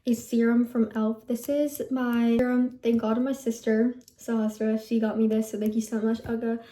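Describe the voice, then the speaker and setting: A woman's voice: fairly high pitch, little pitch movement, normal speed, dark tone, roomy sound. A young woman in her teens giving a casual skincare routine vlog, chatty and rambling, often correcting herself. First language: Telugu heritage speaker, English first language. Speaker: vlogger.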